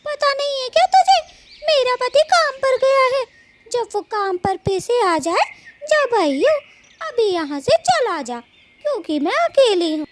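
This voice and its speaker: A woman acts out an indignant little bird wife in a cartoon tale. Her voice is extremely high and swings widely as she scolds and nags. Some noise sits behind her. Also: sing-song, measured pace, heavily acted. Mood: indignant scolding. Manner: shrill scolding, nagging. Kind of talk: Hindi cartoon moral story dialogue.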